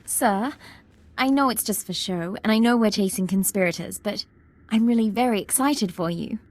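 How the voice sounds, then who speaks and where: An actress playing an eager, deferential young military ensign in a video game, earnest and respectful. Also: female voice, mid-range voice, moderate pace, natural voice. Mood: excited.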